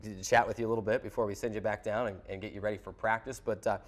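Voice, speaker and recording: A man's voice: lowish voice, flat delivery, racing. A man hosting a sports show, talking conversationally as he introduces and interviews a guest. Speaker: sports show host and play-by-play broadcaster.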